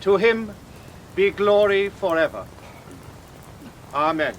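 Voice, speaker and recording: A man's voice: sits high, expressive, takes it slowly, a little hiss or hum. A man playing a solemn clergyman who intones a burial service in an audio drama.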